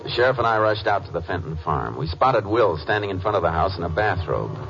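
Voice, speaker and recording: An actor playing a calm, firm Texas Ranger who narrates and questions tersely in a 1950s radio drama. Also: male voice, low-pitched, creaky, heavily acted. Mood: narrating. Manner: terse, measured, authoritative. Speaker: lead detective and narrator. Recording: dull old radio recording.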